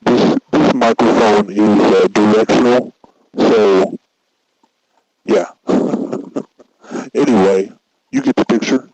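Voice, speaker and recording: A man's voice: lowish voice, slow, long pauses, a little mellow, rough recording. A man doing a casual tech demonstration and mic test, his voice sent through a voice changer and speaker. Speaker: tutorial host testing a voice changer.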